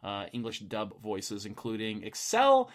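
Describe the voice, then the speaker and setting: A man's voice: lowish voice, huge pitch swings, measured pace. A young man hosting a casual podcast chat, rambling with fillers and laughing often. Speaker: podcast host.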